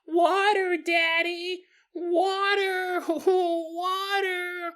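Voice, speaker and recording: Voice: very high voice, flat delivery, drawn-out words, a bit bright, voice pushed up, full character voice. A storyteller voicing a terrified three-year-old girl who pleads insistently for help, repeating herself. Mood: panicked screaming.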